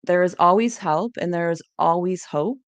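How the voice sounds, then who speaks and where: A woman with a lowish, gravelly voice leads an educational presentation. She reads encouraging tips in order, and the recording is dry and close. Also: normal speed, no room sound. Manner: scripted, encouraging, list-like delivery.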